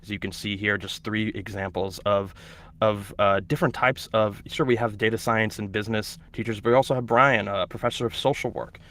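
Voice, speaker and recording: A man's voice: on the low side, steady tone, brisk, fairly bright tone. A man hosting an online orientation webinar for teachers, explaining steps and introducing a guest, with some hesitations and restarts. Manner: instructive, hesitant with fillers and restarts. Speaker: webinar host.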